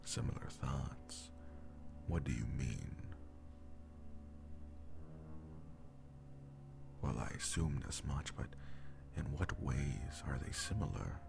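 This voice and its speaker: A man playing a powerful, devoted demon lover in an intimate roleplay audio drama, speaking formally in a breathy, gravelly half-whisper. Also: very deep voice, lively intonation, background noise, exaggerated voice. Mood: hopeful, yearning. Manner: slow, formal, archaic phrasing. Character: powerful male demon, devoted, jealous lover bound to a sorcerer. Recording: music behind the voice. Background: music.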